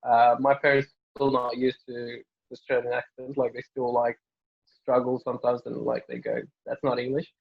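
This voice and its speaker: A young man from Norway, Norwegian native, a family doctor answering interview questions in a relaxed way with many fillers and restarts. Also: mid-range voice, normal speed, creaky, slightly rough, lo-fi sound.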